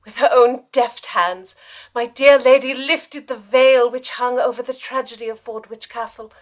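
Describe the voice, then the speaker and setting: A woman playing a devoted, earnest assistant to a lady detective in a period mystery radio drama, deferential and expressive. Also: fairly high pitch, normal speed, lightly acted, rough recording. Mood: admiring, narrating.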